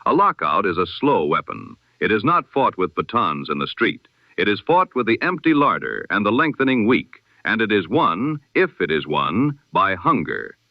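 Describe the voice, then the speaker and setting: Male announcer for a vintage 1920s-style radio bulletin: formal and solemn, lowish voice, dull lo-fi sound. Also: sing-song, dry recording. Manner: formal, measured, solemn delivery. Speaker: news announcer of an audio history broadcast.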